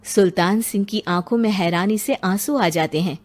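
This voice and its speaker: A woman's voice: medium pitch, moderate pace, a little mellow. Woman narrating a dramatic story. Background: music.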